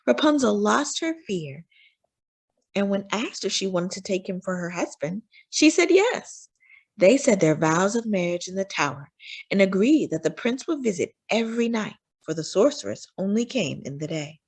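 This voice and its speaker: A woman's voice: mid-range voice, big pitch swings, normal speed, breathy edge. A woman reading a children's storybook aloud as narrator, phrasing for young listeners with dramatic emphasis.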